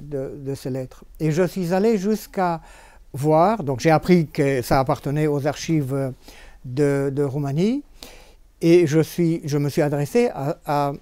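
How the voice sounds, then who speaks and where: Hesitant man with a mellow voice. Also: fairly high pitch, no echo. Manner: hesitant, many repeated words. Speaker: translator. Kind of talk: bookshop panel discussion. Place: bookshop event.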